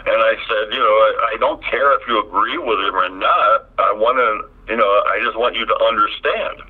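An older man, a retired professor, talking in a deep voice with halting restarts and a blunt, aggrieved tone, heard over a phone line. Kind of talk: reflective interview talk.

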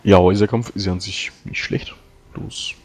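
A man playing a tabletop game, casually talking tactics with quick agreements and restarts. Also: fairly low pitch, wildly swooping pitch, a little mellow, husky, no room sound, soft background music. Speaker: tabletop player. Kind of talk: casual strategy discussion.

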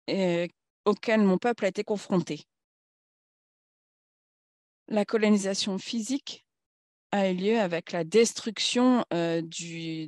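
A woman speaking at a conference in a formal political talk, halting and searching for words as she goes. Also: mid-range voice, darkish tone, no room sound, studio quality.

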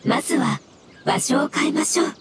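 High, very formal little mushroom creature. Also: female voice, normal speed, very noisy. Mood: earnest, explanatory. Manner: formal, polite, pedantic. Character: polite, earnest, literal-minded mushroom-like elemental creature. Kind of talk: anime game voice acting. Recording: loud music behind the voice.

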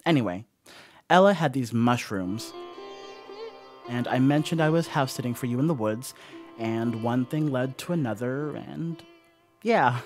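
An actor playing a sheepish young stoner house-sitter in an audio horror monologue, rambling apologetically with casual asides. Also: male voice, mid-range voice, a little slow, pauses often, soft background music, natural voice.

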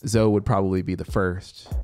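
A man in his thirties reads a scripted story in a deliberate, dramatic manner with pauses, close on a studio microphone. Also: low voice, flat delivery, a little mellow, clear, resonant tone, dry recording. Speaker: audiobook narrator. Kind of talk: scripted story narration over soundscape. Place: small home music studio, indoors. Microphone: close studio microphone with headphones. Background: atmospheric synth music.

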